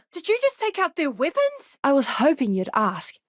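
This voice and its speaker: A woman voicing an earnest, eager young ship's doctor in a video game, with a polite, expressive manner. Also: extremely high pitch, measured pace, no room sound, clean studio sound, exaggerated voice. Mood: excited, combative. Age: young adult. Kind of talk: game character voice lines.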